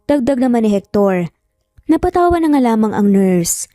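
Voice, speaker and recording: Female narrator for romance drama: warm tone, fairly high, close dry sound with light music behind. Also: big pitch swings, moderate pace, no echo. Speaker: story narrator.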